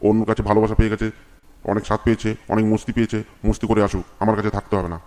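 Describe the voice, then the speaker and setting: A man's voice: on the low side, level tone, racing, darkish tone, close to an everyday voice. A man playing an aggrieved, stubborn village husband in a comedy cartoon, whining defiantly in crude words.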